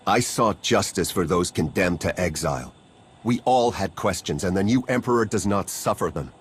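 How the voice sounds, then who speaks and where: An actor playing a principled, fearful civilian in a video game, husky, earnest and solemn. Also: male voice, deep voice, expressive, moderate pace, heavily acted. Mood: solemn, resigned. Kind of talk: scripted video game drama acting.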